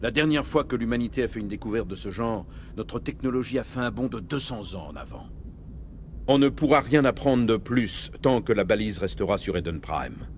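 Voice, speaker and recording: A man's voice: on the low side, expressive, moderate pace, slight background noise. A man playing a commanding military captain in a French video game, formal and resolute. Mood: serious, explaining. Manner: firm, formal, authoritative.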